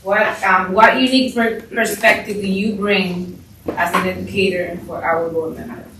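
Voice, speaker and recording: A woman's voice: medium pitch, fairly even intonation, moderate pace. A woman moderating a public meeting, reading out a question to the panel. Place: indoor council meeting room. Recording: echoey room, distant mic, faint noise.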